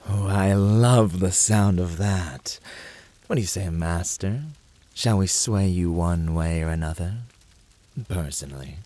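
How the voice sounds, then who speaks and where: A man voicing a fiery, playful, devoted incubus in a flirty ASMR roleplay, with a breathy voice and a teasing, sultry, drawn-out and often whispery delivery. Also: low voice, dark tone, dry recording.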